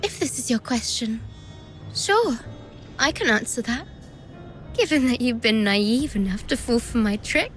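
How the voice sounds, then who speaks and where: A taunting, gleeful young villainess. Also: female voice, on the high side, expressive. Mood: mocking. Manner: taunting, sarcastic, gleeful, slow and theatrical. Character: mocking treacherous young woman villain. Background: faint music.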